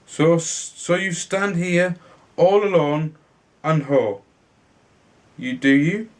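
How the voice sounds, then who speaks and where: A man's voice: fairly high pitch, takes it slowly. A man reading a storybook, voicing a curious young brother in a fairy tale who muses and wonders. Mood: friendly. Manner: musing, wondering.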